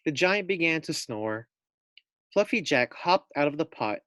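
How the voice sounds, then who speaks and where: Male teacher, storybook read-aloud: deliberate pace, dry close sound, asks young listeners questions. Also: a little high, very animated, fairly bright tone, no room sound.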